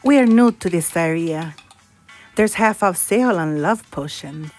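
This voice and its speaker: An actress playing a mysterious potion-shop proprietor in an audio drama, formal and enigmatic. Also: female voice, fairly low pitch, lively intonation, unhurried, a little mellow, faint music behind. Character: mysterious female potion-shop proprietor, fortune-teller type. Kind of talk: comedic crime audio drama acting.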